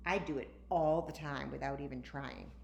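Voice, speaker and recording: A woman voicing a confident, bossy little girl in a storybook, with an excitable, emphatic delivery. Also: very deep voice, normal speed, creaky. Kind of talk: storybook read-aloud. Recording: roomy, echoey, faint background noise.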